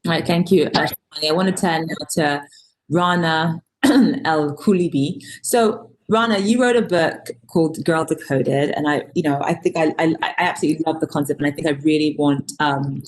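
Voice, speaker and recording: A woman's voice: low voice, normal speed, low-quality recording. A woman moderating a panel discussion over a video call, asking guests questions in a friendly way.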